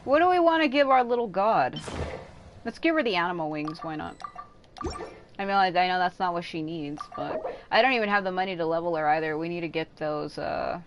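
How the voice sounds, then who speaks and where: A woman's voice: fairly low pitch, brisk, highs cut off, background noise. A woman livestreaming a game, chatting in a rambling, informal way with some casual swearing. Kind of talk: casual livestream chat while playing. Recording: game music behind the voice. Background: game music.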